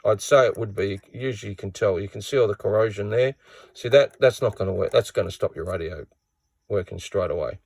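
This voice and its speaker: A man's voice: fairly low pitch, no room sound. A man giving a casual hands-on repair tutorial in a plain-spoken, matter-of-fact way.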